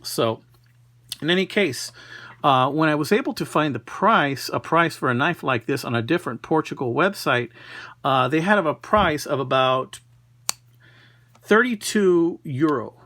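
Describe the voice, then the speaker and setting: Casting: male hobbyist presenter, slightly high voice, conversational and unhurried, dry close sound. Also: animated, pushed and bright, no echo. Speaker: knife collector presenting a find. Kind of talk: casual product showcase.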